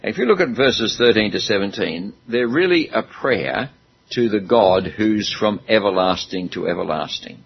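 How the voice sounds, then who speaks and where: A man's voice: fairly low pitch, expressive, moderate pace, gravelly, slightly rough, dull audio. A man preaching a sermon in a church hall, talking in a chatty way with some self-deprecating humor.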